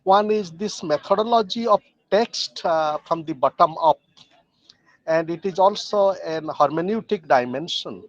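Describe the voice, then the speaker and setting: A man offering formal remarks as an academic discussant on an online call, speaking in a halting, deliberate way. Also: a little high, no room sound, lo-fi sound. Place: joining a video call from a train.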